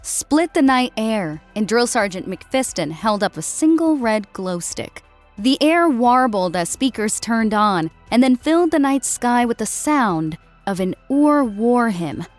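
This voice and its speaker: High-voiced woman narrating a dramatic story. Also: lively intonation, unhurried, faint music behind. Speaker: audiobook narrator.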